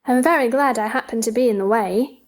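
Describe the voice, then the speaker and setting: A woman's voice: a little high, brisk, close to an everyday voice. A woman playing a polite, puzzled, sensible young girl in a theatrical children's storybook drama, earnest and questioning.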